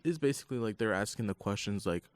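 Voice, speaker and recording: A man's voice: on the low side, expressive, fast, no room sound. A young man co-hosting a casual college radio chat, telling stories in a laid-back way with chuckles. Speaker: podcast co-host.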